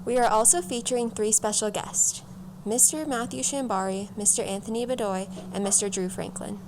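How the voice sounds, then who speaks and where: A woman's voice: medium pitch, moderate pace. A teenage girl, a student performer, giving a scripted introduction to a radio drama. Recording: noisy recording with room ambience.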